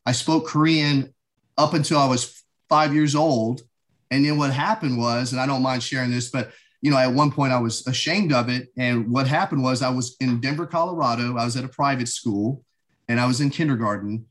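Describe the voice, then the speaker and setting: A man's voice: mid-range voice, normal speed. Reflective American man in his forties. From United States. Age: 40s. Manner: reflective, many "you know" fillers. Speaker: guest. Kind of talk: casual interview conversation.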